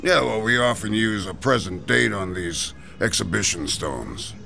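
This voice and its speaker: A folksy sweaty gravestone carver, gravelly. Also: male voice, fairly low pitch, expressive, unhurried, pushed and bright, faint music behind, heavily acted. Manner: folksy, chatty, sighing about the heat. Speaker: stonecutter. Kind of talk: classic radio drama acting.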